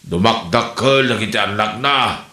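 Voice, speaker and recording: A man's voice: on the low side, measured pace, sharp tone. A man playing a concerned, caring parent of a grown child in an Ilocano comedy audio drama. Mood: worried. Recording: roomy, music underneath.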